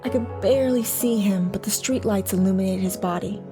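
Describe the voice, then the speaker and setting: A woman's voice: medium pitch, brisk, over music, close to an everyday voice. A woman playing a devoted soldier's wife and mother in an audio drama, telling her story tenderly and reflectively. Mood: wistful, reminiscing.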